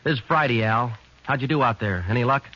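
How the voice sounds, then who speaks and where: A man's voice: mid-range voice, fast talker, pushed and bright, dull audio, natural voice. An actor playing a weary police detective sergeant, narrating his case in a terse, understated American voice in a 1950s radio drama. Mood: brisk, official. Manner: terse, matter-of-fact, understated. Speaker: narrator and lead character. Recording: noisy, band-limited vintage radio recording.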